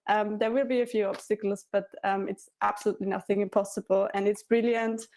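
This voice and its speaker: Give me a woman speaking in a level, even tone, hesitant and friendly. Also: medium pitch, a little fast. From Austria. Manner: friendly, hesitant, many ums. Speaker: cultural forum music officer. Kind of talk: conference introduction.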